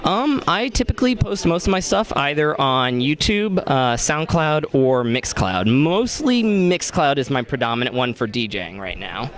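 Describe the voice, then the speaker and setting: A man's voice: a little high, very animated, normal speed, hardly any pauses, fairly bright tone. A young adult man who works as a DJ, talking casually as an interview guest.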